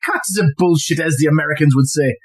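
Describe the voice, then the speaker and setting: An actor playing a cunning, smug blackmailer in an audio play, insinuating and coolly threatening. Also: male voice, on the high side, fairly even intonation, fast, a crowd in the background, lightly acted. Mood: smug, accusing. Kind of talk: stage-like audio drama acting.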